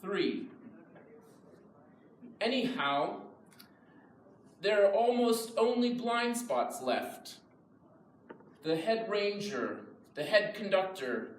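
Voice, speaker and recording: A man reciting poems in translation at a live poetry reading, in a measured style. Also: a little high. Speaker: reader of English translations. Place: live event in a reverberant room. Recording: echoey room, distant mic, faint noise.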